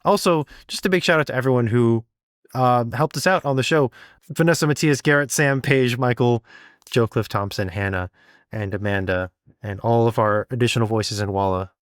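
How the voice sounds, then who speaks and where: Guy chatting on a podcast, medium pitch, kinda rambly and encouraging, really dry recording. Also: moderate pace, clear, resonant tone, dry recording. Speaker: podcast co-host and sound designer. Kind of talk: casual conversational podcast talk.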